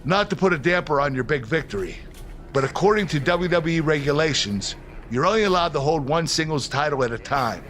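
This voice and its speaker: Wrestling official, game cutscene: polite, apologetic rule-follower, slightly high voice, faint noise behind. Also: male voice, animated, fairly bright tone. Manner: measured, polite, matter-of-fact. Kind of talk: video game cutscene acting.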